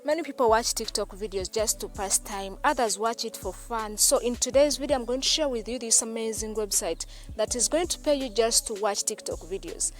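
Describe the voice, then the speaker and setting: A woman's voice: fairly high pitch, brisk, hardly any pauses. A woman from Uganda, hosting a tutorial voice-over, repeating herself often and calling her viewers "guys". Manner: repetitive, keeps addressing viewers as "guys". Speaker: YouTube channel host. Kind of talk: screen-recorded tutorial voice-over.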